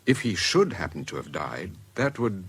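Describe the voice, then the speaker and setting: An actor playing a devoted, earnest suitor in a radio drama, restrained and gently probing, with a British accent. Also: male voice, low-pitched, sing-song, moderate pace, husky, exaggerated voice. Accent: British English. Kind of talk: BBC radio drama acting.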